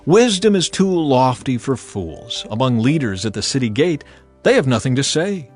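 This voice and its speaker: A man narrating a dramatized audio Bible in an expressive, measured, solemn and exhortative way. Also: medium pitch. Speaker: scripture narrator. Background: background music.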